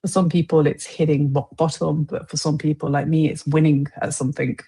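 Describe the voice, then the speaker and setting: Woman, podcast guest, husky and gravelly voice, candid personal storytelling, informal. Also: deep voice, little pitch movement, a little fast, warm tone.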